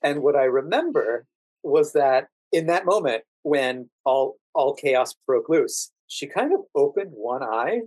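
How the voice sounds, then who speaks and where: An American man with a calm, quiet, measured way of talking, speaking conversationally as a podcast guest. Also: mid-range voice, no room sound, poor audio. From Washington DC, United States. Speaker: podcast guest, actor and standardized patient. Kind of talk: conversational storytelling interview.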